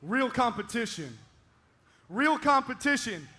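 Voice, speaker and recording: A teenage man cutting an arrogant wrestling promo in an indoor arena, boasting and yelling at the crowd. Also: extremely high pitch, sing-song, unhurried. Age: teens.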